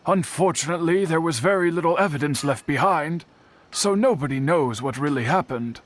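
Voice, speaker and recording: An actor playing a courteous, solemn middle-aged swordsmith in a video game, speaking formally and earnestly. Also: male voice, a little high, animated, moderate pace. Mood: pensive.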